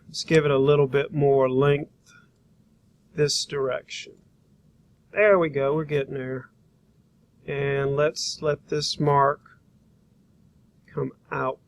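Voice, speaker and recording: A man teaching a software demonstration, talking informally and thinking aloud as he works through each step. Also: a little high. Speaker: graphic design instructor.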